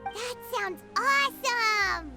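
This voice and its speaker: A woman voicing a small, childlike fairy companion in a video game, squeaky, perky and a little bossy. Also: expressive, slow pace, loud music behind the voice, heavily acted. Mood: amazed, chatty.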